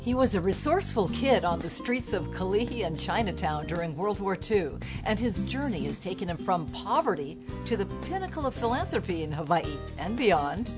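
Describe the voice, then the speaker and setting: A woman hosting a television interview, who reads her introductions and asks questions in a clear, measured broadcaster manner. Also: medium pitch, sing-song, highs cut off, over music.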